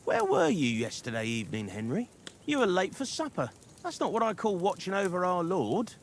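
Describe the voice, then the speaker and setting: An actor playing a questioning, needling soldier of a lord's escort in a medieval game. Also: male voice, sits high, huge pitch swings, moderate pace, gravelly, slight background noise, heavily acted. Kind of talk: video game cutscene acting.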